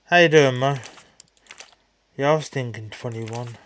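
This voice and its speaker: A man's voice: mid-range voice, a little slow, a bit bright. A man giving casual playthrough commentary, thinking aloud as he plays. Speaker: gaming streamer.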